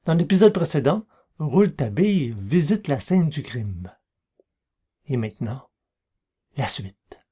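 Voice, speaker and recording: Mellow, slightly husky male narrator. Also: on the high side, sing-song, a little slow, pauses often, no echo, clean studio sound. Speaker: audiobook narrator. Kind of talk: scripted literary narration.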